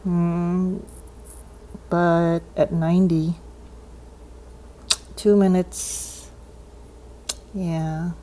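A woman's voice: fairly low pitch, flat delivery, very slow, pauses often, dark tone, a little hiss or hum. A woman giving a casual, unscripted hands-on demonstration, thinking aloud with a hesitant delivery. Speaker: hobbyist demonstrating cassette tape repair. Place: indoors at a desk.

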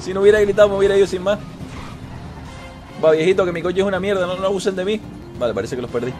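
A man's voice: high-pitched, very fast, a little mellow, soft background music. A man chatting and commenting casually while gaming, brash and full of swearing. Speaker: streamer playing a roleplay game.

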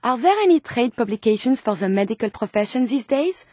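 A woman's voice: fairly high pitch, animated, fairly quick, mellow, no room sound, clean studio sound. A woman with a French accent voicing a polite, earnest female robot scientist in a video game, speaking formally and precisely. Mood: earnest, curious. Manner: formal, precise, courteous phrasing without contractions. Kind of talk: video game character dialogue.

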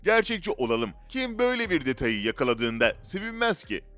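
A man's voice: fairly high pitch, very animated, a little fast, fairly bright tone, clean tone. A man reads scripted narration in a dramatic, rhetorical storytelling way. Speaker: narrator of a list video. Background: background music.

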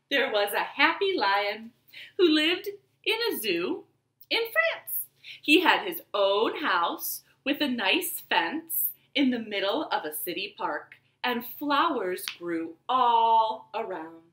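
A bright-voiced woman presents storytime for small children. Her delivery is animated and sing-song, slightly high and swinging widely in pitch. It is recorded in a roomy living room on a webcam. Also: slow. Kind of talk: children's storytime, rhymes and read-aloud story. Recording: roomy home webcam sound.

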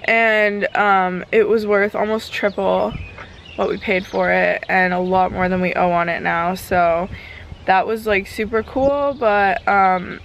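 A woman's voice: mid-range voice, steady tone, unhurried, vocal fry. A woman in her twenties chatting casually and informally in a rambling vlog, recorded outdoors on a camera held at arm's length. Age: 20s. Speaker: lifestyle vlogger. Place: mostly outdoors in a leafy backyard, briefly a home kitchen. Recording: outdoor background noise, handheld camera.

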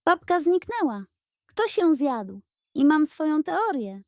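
A woman's voice: high-pitched, very animated, slow pace, darkish tone, no echo, pitched above the performer's own voice, heavily acted. A woman voicing a sceptical male cat in a children's story, dry and knowing. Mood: accusing.

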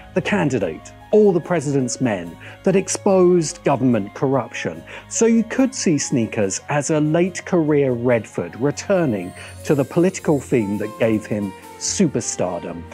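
Middle-aged man, lively wry film commentary. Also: a little high. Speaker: video essay host. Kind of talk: film commentary video essay. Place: open-air veranda.

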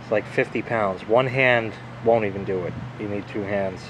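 A man's voice: fairly low pitch, normal speed, noisy background. A man giving a casual, rambling walkthrough as he films home repairs, swearing freely with a wry, crude manner. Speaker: homeowner filming his plumbing repair.

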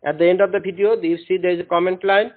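A man's voice: high voice, steady tone, fast. A man whose first language is Bengali, lecturing a class in an earnest, encouraging way and often repeating himself. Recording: echoey room, tinny phone-like sound.

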